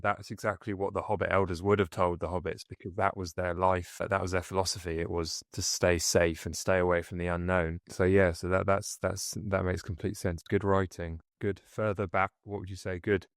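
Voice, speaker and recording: Deep smooth-voiced podcast host man. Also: dry recording, studio quality. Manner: enthusiastic, affirming, chatty. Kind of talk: casual interview.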